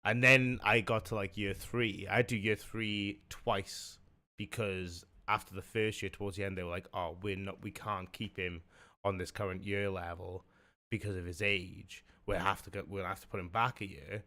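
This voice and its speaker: A man's voice: sits low, fairly even intonation, normal speed, bright, forceful tone. A young man with a South Welsh accent, hosting a live stream and chatting in a rambling way, swearing and restarting often. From South Wales. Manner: rambling, swears a lot, many fillers and restarts. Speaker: stream host. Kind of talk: casual chat on a live stream.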